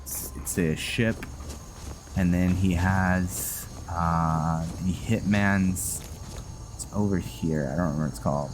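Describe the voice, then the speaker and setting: Low-voiced man, unhurried gaming commentary. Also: steady tone, background noise. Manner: rambling, halting, thinking aloud. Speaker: gaming video host. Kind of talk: casual gameplay commentary with roleplay. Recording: music behind the voice. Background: game music.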